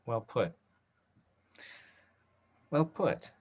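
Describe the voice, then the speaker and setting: A man moderating a panel discussion, speaking in hesitant, halting phrases. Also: mid-range voice, very animated, a little mellow, slightly rough, highs cut off. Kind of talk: hosting a talk-back discussion. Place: virtual video call.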